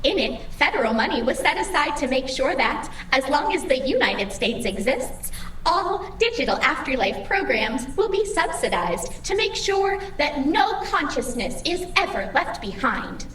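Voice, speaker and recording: Cheery afterlife computer lady, super formal and exact, high swoopy voice, roomy and a bit far off. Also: very animated, unhurried, a bit bright, a little hiss or hum, heavily acted. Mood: chipper, informative. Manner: formal, over-precise, cheerfully bureaucratic. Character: chipper female computer host of a digital afterlife, oblivious and officious. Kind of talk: scripted audio comedy drama acting.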